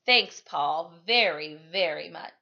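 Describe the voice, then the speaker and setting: A woman's voice: low-pitched, takes it slowly, gravelly, bright, forceful tone, dull audio, pitched below the performer's own voice, full character voice. A woman voicing an eager, grateful schoolgirl in short, earnest phrases for a storybook reading. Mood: grateful, amazed. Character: eager, grateful schoolgirl contestant using a talking device.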